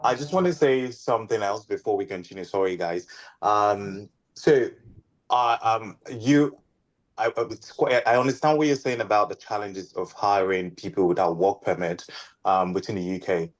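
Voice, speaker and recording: Guy moderating a panel, lowish voice, stumbles and repeats himself. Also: rough recording. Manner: halting, repeats words. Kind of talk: panel discussion question.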